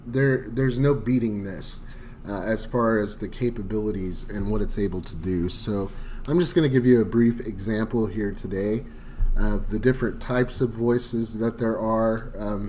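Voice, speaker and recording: A man hosting a casual screen-recorded software tutorial, talking loosely with lots of fillers and enthusiastic asides. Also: fairly low pitch, a little hiss or hum. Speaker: tutorial host.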